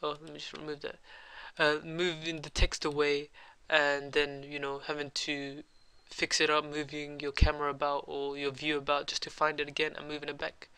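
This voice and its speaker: A young man with a cold, hosting a casual software tutorial at his computer and rambling with lots of ums and uhs. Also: on the high side, completely flat delivery, normal speed, few pauses, clear, resonant tone, no room sound. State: has a cold. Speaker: tutorial host.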